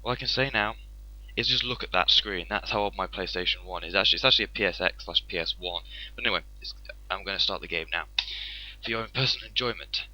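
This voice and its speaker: A man's voice: low-pitched, quick pace, bright, forceful tone, no room sound, low-quality recording. A man casually commenting over a video game, rambling with many restarts and fillers. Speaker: let's play host. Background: game music.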